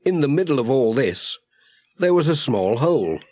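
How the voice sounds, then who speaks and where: A man's voice: mid-range voice, very animated, moderate pace, warm tone, no room sound. A man narrating a scripted children's story as an audiobook reader.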